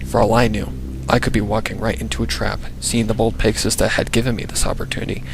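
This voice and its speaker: A man's voice: on the low side, flat delivery, fast talker. A man reading a story aloud as dramatic scripted narration. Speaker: fan fiction reader. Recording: clearly noisy background.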